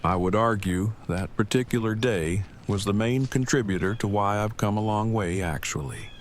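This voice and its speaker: A man's voice: fairly low pitch, normal speed, slight background noise, lightly acted. A man playing a stiff, pedantic, earnest inventor in an audio drama, speaking in formal, wordy, precise sentences. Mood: measured, earnest. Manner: formal, verbose, precise wording. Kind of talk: fan audio drama acting.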